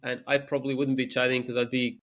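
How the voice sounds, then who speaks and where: Candid young man with a measured voice. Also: medium pitch, flat delivery, fairly bright tone. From Serbia. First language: Serbian. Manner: measured, candid, occasional stammering restarts. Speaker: interview guest. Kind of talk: reflective personal storytelling.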